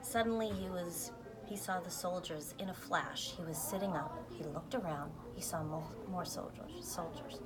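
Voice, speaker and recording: A woman's voice: on the low side, normal speed, over a noisy crowd. A woman reading a children's book aloud, now and then stumbling and restarting over words. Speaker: volunteer story reader.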